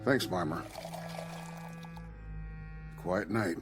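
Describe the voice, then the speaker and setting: A man's voice: deep voice, extremely slow, music in the background, full character voice. An actor playing a laid-back, matter-of-fact Navy man in a radio drama. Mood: casual.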